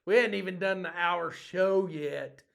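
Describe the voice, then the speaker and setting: Man, somewhat high voice, slightly hoarse, blunt rambling rant, casual podcast host. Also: unhurried, a bit bright. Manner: ranting, blunt, self-deprecating.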